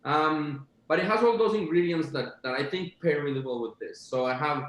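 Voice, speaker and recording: A man's voice: fairly high pitch, moderate pace, roomy sound, low-quality recording. A man from Costa Rica talking casually and with enthusiasm, describing food with many fillers. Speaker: chef guest. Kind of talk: casual video-call chat.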